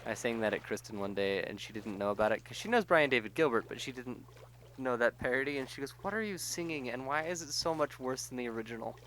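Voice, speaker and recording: A man chats casually while gaming, in an average-pitched voice. He rambles in a playful way and likes to slip into silly quotes. The recording has clear noise in it. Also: animated, fast. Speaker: let's play co-host.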